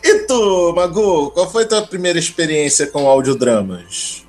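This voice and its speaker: A man's voice: on the high side, lively intonation, unhurried. A man with a Brazilian accent hosting a casual podcast, speaking in an informal, emphatic way. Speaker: podcast host. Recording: faint music behind the voice. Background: faint music.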